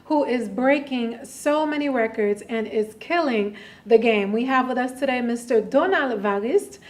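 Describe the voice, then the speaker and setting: High-voiced, effusive woman hosting an interview. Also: a little slow. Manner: effusive, encouraging. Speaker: interviewer and host. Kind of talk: upbeat conversational interview.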